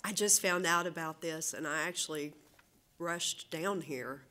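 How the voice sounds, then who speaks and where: A woman's voice: low voice, pushed and bright. Earnest Southern American woman at a podium. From Southern United States. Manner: earnest, with frequent pauses and fillers. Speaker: public commenter at a city council meeting. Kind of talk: public comment speech.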